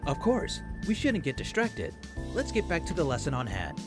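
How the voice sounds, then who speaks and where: A man's voice: on the high side, wildly swooping pitch, moderate pace. A man voicing a composed male professor who lectures in a formal, explanatory way in a visual novel. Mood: formal, measured. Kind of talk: acted visual novel dialogue. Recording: music under the voice.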